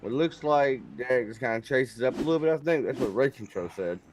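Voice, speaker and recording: A man's voice: mid-range voice, fairly quick, darkish tone, slight background noise. Folksy joking man on color commentary. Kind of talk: casual race broadcast banter.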